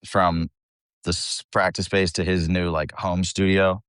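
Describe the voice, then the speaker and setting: Low-voiced man rambling in casual chat. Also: flat delivery, unhurried, fairly bright tone, clear, resonant tone, dry recording, clean studio sound. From United States, Philadelphia area. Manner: rambling, informal, salty-tongued. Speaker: metal band vocalist, interview guest.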